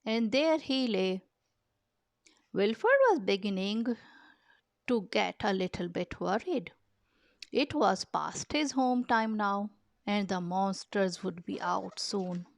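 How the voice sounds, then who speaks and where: Female storybook narrator, unhurried and expressive, some creak in the voice, close dry sound. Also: medium pitch, dry recording. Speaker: children's picture book reader. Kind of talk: storybook read-aloud narration.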